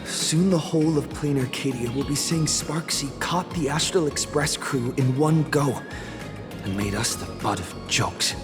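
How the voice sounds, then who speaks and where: A man's voice: fairly high pitch, a little slow, lightly acted. An actor playing a disgruntled, grumbling man in a video game. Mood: annoyed. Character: disgruntled man fretting over his reputation. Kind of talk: video game character acting. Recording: game music behind.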